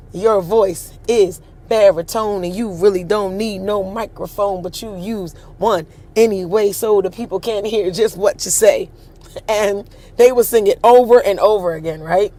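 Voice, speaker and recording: A woman with a deep, husky voice hosts a casual storytelling tour outdoors, animated and acting out the voices of people she quotes. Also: a little slow. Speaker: host.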